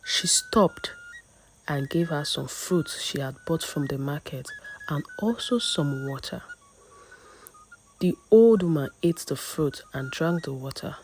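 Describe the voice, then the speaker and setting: A woman with a Nigerian accent narrating a scripted folktale as a storyteller, with measured, dramatic pauses between short phrases. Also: low-pitched, huge pitch swings, a little mellow, slight background noise, poor audio.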